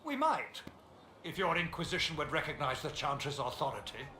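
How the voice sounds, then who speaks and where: A man's voice: on the high side, very animated, fairly quick, sharp tone. An actor playing a pompous, scornful male cleric in a fantasy video game, argumentative and indignant. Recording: echoey space, faint ambient noise.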